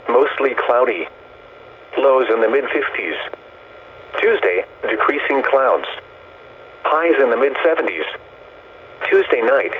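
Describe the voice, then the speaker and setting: A synthetic male announcer voice reads a scripted weather bulletin over the radio in measured, impersonal, segmented phrases. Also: mid-range voice, slightly rough. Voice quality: synthetic text-to-speech voice. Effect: weather radio broadcast. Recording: tinny narrowband radio, static hiss.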